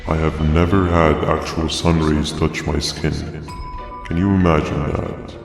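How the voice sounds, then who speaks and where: An actor playing a brooding, menacing many-armed sea creature in a video game, speaking in slow, grandiose, formal sentences. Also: male voice, very deep voice, little pitch movement. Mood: wistful, solemn. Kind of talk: video game character monologue. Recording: cavernous echo, music behind.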